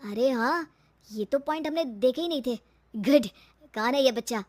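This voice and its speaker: A woman's voice: high voice, normal speed, darkish tone, no echo. Woman as male auditor, stern, clipped, officious, bossy, cartoon story. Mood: impressed.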